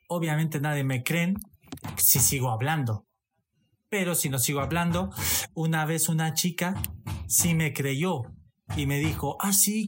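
A man's voice: a little high, a little hiss or hum. A man from Romania, a podcast guest chatting playfully and joking. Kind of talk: casual chat. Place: Madrid.

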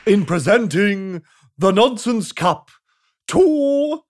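Man putting on a pompous, slow, loud storybook king. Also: high voice, very animated, a faint crowd, slight background noise, voice pushed up, full character voice. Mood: grand, ceremonious. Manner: grand, drawn-out proclaiming. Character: pompous king addressing a crowd in nonsense land. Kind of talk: children's storybook character voice.

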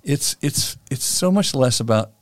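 A man's voice: mid-range voice, animated, a little slow, no room sound. A man speaking as an author and guest on a podcast, talking in an earnest, reflective way with frequent fillers. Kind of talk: conversational interview.

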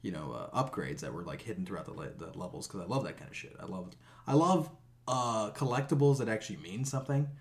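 Male podcast co-host, animated intonation, sarcastic and incredulous, casual and sweary. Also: fairly low pitch, fast talker, vocal fry. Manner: sarcastic, incredulous, laughs easily. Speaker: video game podcast co-host. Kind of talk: casual chat with profanity.

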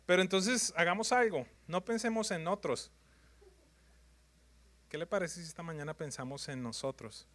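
A man's voice: high-pitched, normal speed, long pauses, a bit bright. A man preaching a sermon in numbered points, emphatic and repetitive, often putting questions to his congregation.

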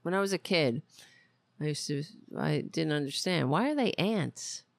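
A talk show host giving casual, rambling political commentary in a wry, self-mocking, exasperated way, often halting and drifting off on tangents. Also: mid-range voice, expressive, creaky, no echo, studio quality.

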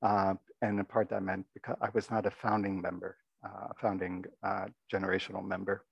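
Soft-spoken man with a gravelly low voice. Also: little pitch movement, dry recording, dull audio. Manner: reflective, halting, many fillers. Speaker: abbot giving a dharma talk. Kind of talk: dharma talk. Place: meditation hall.

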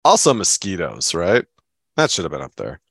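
A man's voice: sits low, huge pitch swings, moderate pace, fairly bright tone, no echo, clean studio sound. A man co-hosting a podcast in casual chat, sounding stuffed up and talking in an enthusiastic, rambling way. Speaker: podcast co-host.

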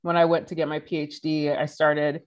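A woman in her forties, a podcast guest answering questions in a lively, conversational way with frequent laughs. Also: low voice, normal speed, highs cut off. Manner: animated, laughs while telling stories.

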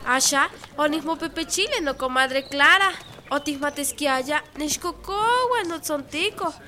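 A pregnant woman from a village in a radio drama. Her voice is high and piercingly bright. She sounds worried and keeps asking questions. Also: lively intonation, measured pace, exaggerated voice. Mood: anxious, uneasy. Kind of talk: radio soap opera acting.

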